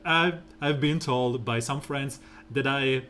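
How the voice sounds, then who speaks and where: A man streaming a mobile game, talking through team strategy in a relaxed, rambling way with lots of fillers and a soft, smooth voice. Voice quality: soft, smooth and deep when slow. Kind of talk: casual gameplay commentary.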